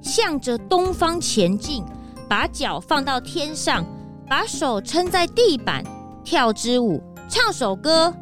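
A woman's voice: sits high, big pitch swings, slow, music in the background, exaggerated voice. A woman voicing a curious, innocent little detective's sidekick in a children's audio drama, cute and wide-eyed. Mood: reciting.

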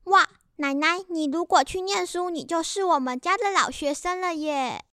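A woman's voice: very high voice, normal speed, fairly bright tone, no echo, clean studio sound. A young woman playing a curious, sweet schoolgirl granddaughter in a family radio drama. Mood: amazed.

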